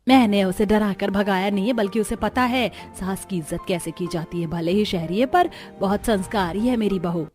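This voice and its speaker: A woman's voice: medium pitch, moderate pace, few pauses, darkish tone, faint music behind, close to an everyday voice. A woman voicing an exasperated, proud middle-aged mother-in-law in a cartoon story, lecturing and scolding. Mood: defensive, lecturing. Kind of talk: cartoon story character acting.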